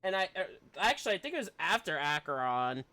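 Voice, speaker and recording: Casting: man, game streamer, higher-pitched and clear-toned, casual off-the-cuff gameplay commentary. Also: sing-song, quick pace, sharp tone. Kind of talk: casual gameplay commentary, reading dialogue along.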